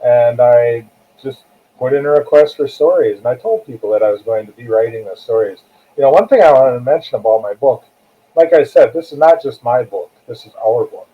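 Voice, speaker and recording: A man's voice: medium pitch, a little fast. An older American man answering as an interview guest in a plainspoken, conversational way, repeating phrases for emphasis. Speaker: guest author, retired corrections captain. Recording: tinny, phone-like narrow-band call audio.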